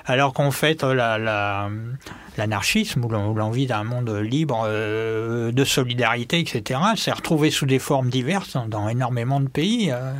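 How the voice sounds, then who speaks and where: A man's voice: mid-range voice, normal speed, no echo. A man hosting a radio interview, chatting conversationally and prompting his guest. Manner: conversational, frequent backchannels. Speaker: radio host.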